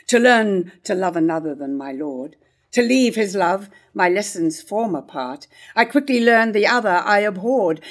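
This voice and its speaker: An older woman playing a conflicted, grieving queen in a staged reading of early modern verse drama, with deliberate, declamatory delivery. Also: mid-range voice. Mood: bitter, resentful. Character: conflicted grieving queen, torn between love and hate. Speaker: actor in a staged play reading. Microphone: stand microphone at a lectern.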